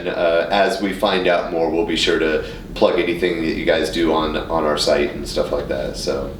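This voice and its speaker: A man with a low voice hosts a casual podcast chat. He sounds roomy and a little off the mic, with faint noise behind him. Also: level tone, normal speed, far from the mic. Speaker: podcast host. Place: indoor studio.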